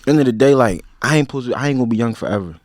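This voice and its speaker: A man in his twenties with a Philadelphia accent, a podcast guest talking in a relaxed, slang-heavy, rambling way. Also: medium pitch, very fast, no echo, studio quality. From Philadelphia, USA. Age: 20s. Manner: laid-back, slang-heavy, rambling with many fillers. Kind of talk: casual interview chat.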